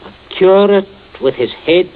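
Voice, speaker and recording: A man's voice: sits high, expressive, unhurried. An actor playing a stern, scheming middle-aged father in 1940s radio drama, with a clipped, commanding manner. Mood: cold, calculating. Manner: clipped, commanding, interrogating, false joviality with guests. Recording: muffled, noisy old 1940s radio recording.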